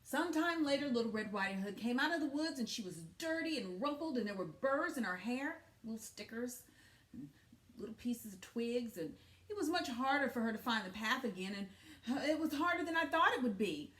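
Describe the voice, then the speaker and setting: A woman reading a storybook aloud to children expressively, pausing to ask questions and comment. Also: a little high, expressive, fairly bright tone. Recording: roomy, echoey sound.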